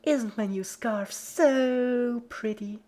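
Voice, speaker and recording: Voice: medium pitch, very slow, darkish tone, pitched above the performer's own voice. A performer voicing a giggly, relentlessly cheerful yet sadistic female cartoon pony in a story reading, chirpy and playful while menacing. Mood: gleeful.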